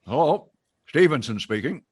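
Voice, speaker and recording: An actor playing a polite, busy factory boss in an old-time radio sitcom. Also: male voice, a little high, big pitch swings, normal speed, noisy background. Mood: polite, brisk.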